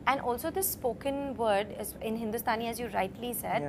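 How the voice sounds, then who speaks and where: A woman, an actress in an interview, answering in a reflective, measured way. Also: fairly high pitch, background noise.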